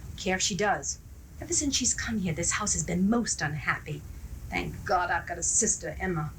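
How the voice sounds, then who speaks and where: A woman's voice: on the low side, lively intonation, moderate pace. A woman playing a resentful, nagging grown daughter in a 1970s community radio drama. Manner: sharp, nagging. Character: bitter, resentful grown daughter, hard and vengeful. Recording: noisy 1970s live radio drama recording.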